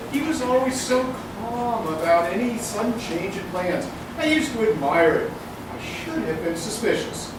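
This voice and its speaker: A man playing a blustery, exasperated older family judge in a live radio-play comedy, emphatic and huffing. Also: high-pitched. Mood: wistful, exasperated. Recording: echoey hall, far mic, noisy.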